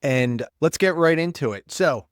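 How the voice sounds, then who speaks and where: A man's voice: on the high side, lively intonation, a little slow, clear, resonant tone, dry recording, studio quality. A man hosting a podcast, sounding congested and stuffed up, rambling and blunt in casual commentary. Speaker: podcast host, paramedic.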